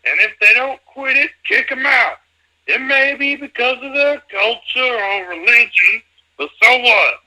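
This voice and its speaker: A man's voice: extremely high pitch, expressive, unhurried, bright, forceful tone, heavily acted, poor audio. A man in an amateur fan dub playing an older, blustering race promoter with an emphatic delivery. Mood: exasperated, commanding. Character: older male race promoter, blustering boss who frets in private.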